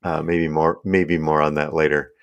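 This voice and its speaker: A man co-hosting a casual podcast, his voice hoarse and raspy from yelling, joking and laughing as he talks. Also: very deep voice, little pitch movement, normal speed. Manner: joking, laughs often, many restarts. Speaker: podcast co-host. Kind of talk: casual chat.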